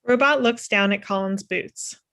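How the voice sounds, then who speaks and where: A woman's voice: medium pitch, measured pace, sharp tone. A woman reading scripted stage directions as narrator of a table read over video call. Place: indoor room on a video call.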